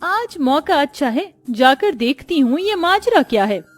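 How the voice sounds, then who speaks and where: Woman playing a high-pitched jealous, scheming sister-in-law. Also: very animated, a little slow, no room sound, soft background music. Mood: resentful. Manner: spiteful, accusing.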